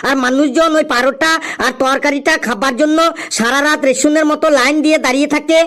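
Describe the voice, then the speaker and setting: A man plays an eager cartoon schoolboy with a very high voice. He is cheeky and earnest, reciting like a pupil showing off an essay in class. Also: fairly even intonation, fast talker, fairly bright tone. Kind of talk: comic cartoon dialogue.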